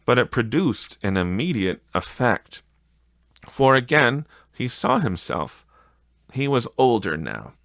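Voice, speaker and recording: A man narrating a classic story as an audiobook, in a measured, dramatic storytelling manner. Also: medium pitch, creaky, a bit bright, no room sound, studio quality. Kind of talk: scripted literary narration.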